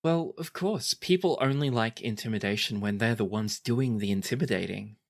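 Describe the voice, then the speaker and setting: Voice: mid-range voice, normal speed, creaky. Reserved, hesitant young princess played at a tabletop. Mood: matter-of-fact. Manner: hesitant, reserved, slightly formal. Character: reserved young princess, reluctant, formal, matter-of-fact about war.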